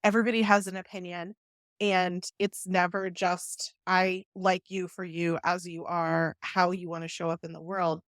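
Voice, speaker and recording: Woman, podcast host, energetic, enthusiastic, lowish voice, dry studio sound. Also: moderate pace, dry recording. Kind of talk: casual interview talk.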